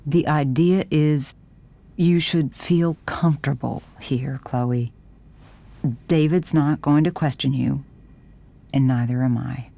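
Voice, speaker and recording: An actress voicing an anxious, gentle middle-aged mother in a game cutscene, softly pleading and patient. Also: rumbling low, slow, vocal fry, full character voice. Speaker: video game voice actor. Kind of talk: dramatic game cutscene acting.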